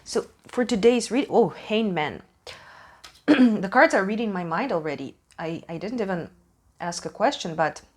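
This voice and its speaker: A woman from Ukraine, a native Russian speaker with a Russian accent, casually hosting a tarot reading in a halting, emphatic way, searching for words. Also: mid-range voice, animated, husky. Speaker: tarot reader hosting a channel.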